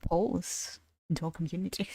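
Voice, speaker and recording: A woman's voice: on the low side, steady tone, moderate pace, vocal fry, mellow, dry recording. Young ukrainian-native woman chatting on stream, casual, swears a bit, dry close sound. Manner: chatty, swears casually, laughs between thoughts. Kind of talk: casual gaming stream chat.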